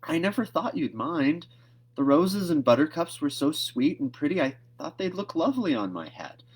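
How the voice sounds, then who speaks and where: A man's voice: a little high, sing-song, moderate pace, breathy edge, voice pushed up. A man voicing an earnest, talkative young orphan girl with a plaintive, dramatic manner in a storybook reading. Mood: tearful, pleading.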